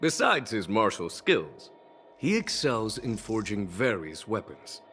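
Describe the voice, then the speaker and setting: An actor voicing a calm, composed male general who mentors a young apprentice. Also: lowish voice, slight background noise, full character voice. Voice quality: calm, measured. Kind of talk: video game voice acting.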